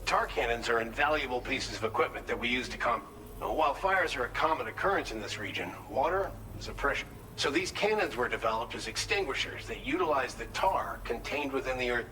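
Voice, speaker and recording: A man's voice: medium pitch, sing-song, brisk, bright, forceful tone, slight background noise, rough recording. A man playing a friendly, grateful settlement worker in a video game, explaining things in an earnest, helpful way. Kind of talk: video game cutscene dialogue.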